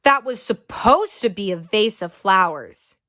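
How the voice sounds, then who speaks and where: A woman's voice: mid-range voice, expressive, unhurried, pushed and bright. A woman voicing a grumpy, sullen young boy in a storybook, with a snappish manner. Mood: doubtful. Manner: sneering, snappish. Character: grumpy young boy artist, sullen big brother to Marisol.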